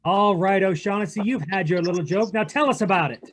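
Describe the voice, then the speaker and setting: An actor playing a tough, defiant, wisecracking private detective in a 1940s radio drama, with blunt, slangy delivery. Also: male voice, high-pitched, brisk, a bit bright, slight background noise. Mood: sardonic. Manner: clipped, blunt, slangy hardboiled talk. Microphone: video call.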